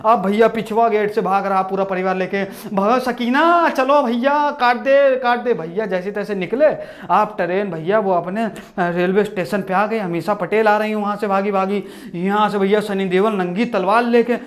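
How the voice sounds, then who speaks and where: A young man doing stand-up, retelling a movie in a rustic storyteller's manner. He shouts at the characters and acts them all out, recorded on a lapel mic in a small room. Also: sits high, steady tone, normal speed. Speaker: stand-up comedian. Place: small indoor room with posters. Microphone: lapel mic, camera at mid distance.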